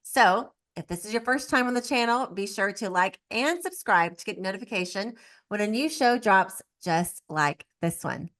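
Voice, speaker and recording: A woman's voice: medium pitch, expressive, normal speed, fairly bright tone. A woman hosting a business podcast, opening the show and prompting her guest with short encouraging replies. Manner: upbeat, encouraging, brief affirmations. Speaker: podcast host. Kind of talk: scripted show intro and interviewing.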